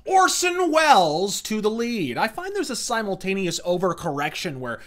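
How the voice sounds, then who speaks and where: High-pitched, bright-voiced man narrating a review. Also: huge pitch swings, moderate pace. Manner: florid wordy delivery, profane. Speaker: reviewer and host. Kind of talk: scripted video essay narration.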